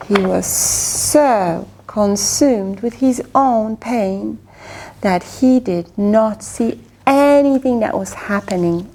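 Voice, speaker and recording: Lively woman reading to children. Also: high voice, vocal fry. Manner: expressive, swooping, exclamatory. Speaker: children's book reader. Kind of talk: read-aloud storytelling to children.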